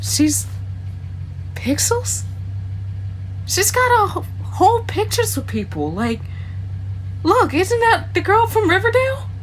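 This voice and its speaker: High-pitched jealous young girlfriend played by a woman. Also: very animated, slow pace, long pauses, a little hiss or hum, exaggerated voice, rough recording. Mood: incredulous, hurt. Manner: confrontational, blunt. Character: jealous, suspicious young girlfriend confronting her boyfriend. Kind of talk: naturalistic radio play dialogue.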